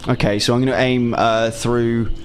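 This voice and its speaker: A man's voice: medium pitch, level tone, normal speed, soft background music. A man playing a tabletop game, chatting casually about his moves.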